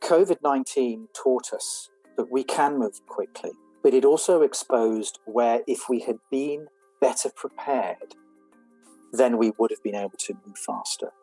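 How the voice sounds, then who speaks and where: Guy explaining vaccine prep, dark mellow voice, a bit husky, dry sound. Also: mid-range voice, measured pace, dry recording, soft background music, poor audio. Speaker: vaccine program head. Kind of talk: interview clip.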